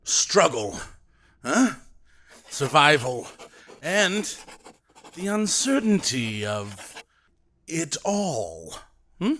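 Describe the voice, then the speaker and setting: A man's voice: a little high, big pitch swings, drawn-out words, pauses often, fairly bright tone, slight background noise, exaggerated voice. An actor playing a self-assured, theatrical male theater director in an audio drama. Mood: brash. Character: brash confident male theater director, grandiose.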